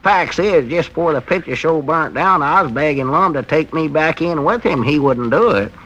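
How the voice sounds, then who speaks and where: An actor playing an earnest, fretful country storekeeper with a rural Southern American accent, folksy and plainspoken, in a 1940s radio comedy. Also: male voice, on the high side, expressive, moderate pace, a bit bright, muffled recording. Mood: concerned, explaining. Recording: noisy old 1940s radio recording.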